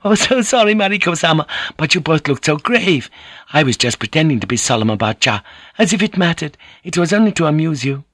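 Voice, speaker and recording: A young Japanese courtesan as a male storybook narrator plays her, pitched high and swooping gently. She is gracious and playful, coaxing her guests with polite little questions. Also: big pitch swings, a little fast, dry recording, clean studio sound. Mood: innocent, apologetic.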